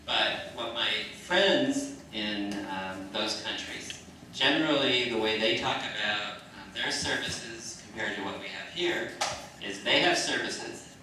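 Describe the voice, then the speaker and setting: Low-voiced man in a very echoey room. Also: bright, forceful tone. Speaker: panel speaker. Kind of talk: measured informal discussion. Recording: very echoey, distant mic, noisy room.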